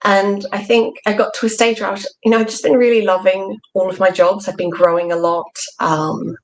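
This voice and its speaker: A woman's voice: medium pitch, flat delivery, fairly quick, a little hiss or hum. A woman in her thirties with an Australian accent, a guest talking reflectively in an interview with many ums. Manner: fluent, reflective, lots of ums and "sort of". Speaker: trainee psychologist guest. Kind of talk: interview conversation.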